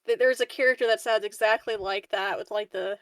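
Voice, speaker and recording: A woman's voice: medium pitch, fairly even intonation, a little fast, dry recording, clean studio sound. A woman playing a tabletop game, chatting casually and informally in a giggly way. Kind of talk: casual chat.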